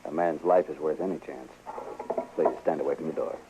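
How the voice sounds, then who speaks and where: An actor playing a selfless, determined frontier preacher, earnest and formal, in an old radio western. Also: male voice, extremely low pitch, steady tone, brisk. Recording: muffled, faintly noisy old radio recording.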